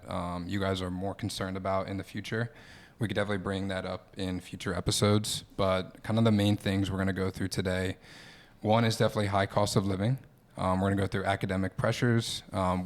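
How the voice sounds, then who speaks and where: Young male student host from Philadelphia, low and gravelly, relaxed chatty delivery. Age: young adult. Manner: relaxed, with frequent "um" and "like". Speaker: podcast host, optometry student. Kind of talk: casual podcast chat.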